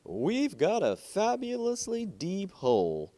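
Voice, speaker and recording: A man voicing an eager, pushy businessman from a short story, with an emphatic sales pitch. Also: wildly swooping pitch, slow, warm tone, exaggerated voice. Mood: promotional. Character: eager, pushy male concessionaire hawking a deep hole.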